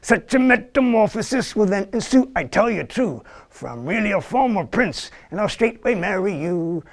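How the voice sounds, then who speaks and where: A man's voice: high-pitched, animated, moderate pace, voice pushed up. A man voicing a cheeky, bewitched talking frog with a lilt and sing-song patter. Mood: wheedling.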